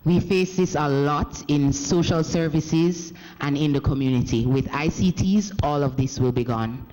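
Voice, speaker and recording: A fairly high-pitched Jamaican man gives a formal speech with long reverb ringing around the chamber. He keeps a measured pace but breaks off and restarts words as he goes, the way someone does when voicing another person's signing live. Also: a little mellow. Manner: measured, with frequent restarts and self-corrections. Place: parliament chamber. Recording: echoey parliament hall.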